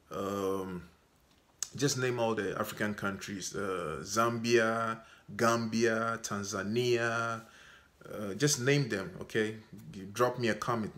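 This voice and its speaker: A Ghanaian man talking to his viewers in a measured way, often stopping to ask "okay?". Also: fairly low pitch, fairly bright tone. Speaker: vlogger. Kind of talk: unscripted talk to camera.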